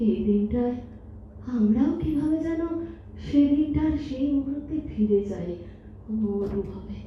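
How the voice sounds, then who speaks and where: A woman's voice: fairly high pitch, animated, unhurried. A woman playing a sentimental old wife in an audio drama, speaking in a dreamy, poetic way. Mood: nostalgic, tender. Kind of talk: Bengali stage audio drama. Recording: muffled, echoey hall from far off, noisy.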